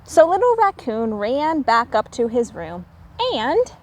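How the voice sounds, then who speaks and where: A high-pitched woman reads a children's picture book aloud. Her delivery swoops expressively up and down, and she takes her time with each phrase, stressing words clearly for young listeners. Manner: animated storytelling with emphatic, deliberate phrasing. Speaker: children's story reader.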